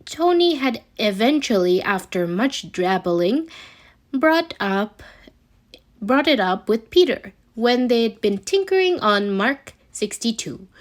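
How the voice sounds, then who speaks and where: A woman reading a scripted story aloud as an amateur narrator, expressive but a little halting, with occasional stumbles. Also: fairly high pitch. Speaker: amateur fan fiction reader.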